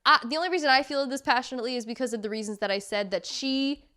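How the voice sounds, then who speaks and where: A woman co-hosting a podcast in casual chat, talking in rambling, chatty runs full of quick asides. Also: high voice, bright, forceful tone, smooth. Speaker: podcast co-host.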